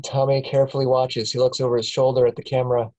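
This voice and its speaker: Man, mellow tone, mid pitch, scripted narration, stage directions at a table read. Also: level tone, fast, lo-fi sound.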